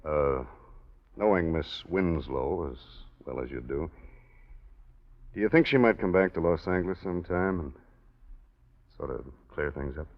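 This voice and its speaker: A man's voice: a bass voice, animated, unhurried, long pauses. An actor playing a laconic, kindly American private investigator in a 1940s radio drama, in an understated, casual tough-guy manner. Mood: gently probing. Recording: dull 1940s radio recording.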